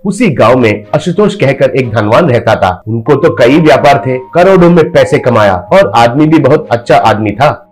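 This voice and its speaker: A man's voice: medium pitch, animated, normal speed. A man reading out a scripted moral story as storyteller, with music behind him.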